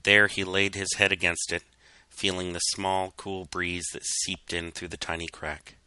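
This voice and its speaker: A man with a low, very bright and forceful voice narrates a story. His delivery is solemn, measured and theatrical. The sound is close and dry. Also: level tone, clear, resonant tone, no echo. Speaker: fan fiction reader. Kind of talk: dramatic scripted story narration.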